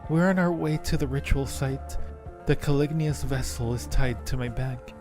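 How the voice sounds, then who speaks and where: A man's voice: mid-range voice, normal speed, natural voice. A man voicing a lovestruck undead acolyte from a horror game, narrating with earnest, brooding resignation. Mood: brooding, resigned. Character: devoted undead cave acolyte, fatalistic and lovestruck. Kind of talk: dramatic reading of game narration. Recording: music behind the voice.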